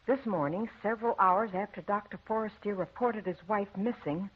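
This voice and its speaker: A woman's voice: mid-range voice, moderate pace, husky, close to an everyday voice. An actress playing a simple, folksy woman sharing newspaper gossip in an old radio drama. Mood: intrigued. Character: simple, gossipy woman Clara reading the paper aloud. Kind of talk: 1940s radio drama acting. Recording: tinny, phone-like old radio recording.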